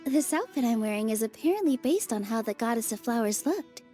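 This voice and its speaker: Gentle young dancer girl, woman voicing her, high but mellow, soft music behind. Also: lively intonation, fairly quick, lightly acted. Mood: storytelling. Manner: gentle storytelling. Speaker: festival dancer. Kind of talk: game cutscene voice acting.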